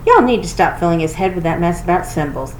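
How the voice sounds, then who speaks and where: A woman's voice: fairly low pitch, lively intonation, quick pace, natural voice. Woman putting on a stern, scolding grandfather. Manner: scolding, emphatic. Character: stern, grumbling grandfather, tough and impatient with softness. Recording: roomy echo with clear noise.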